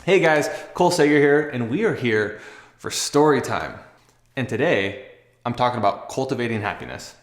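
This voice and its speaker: A man's voice: mid-range voice, lively intonation, moderate pace, reverberant, soft background music. A young man telling a personal story casually to camera, earnest, conversational and reflective. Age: young adult. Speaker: athlete and video host.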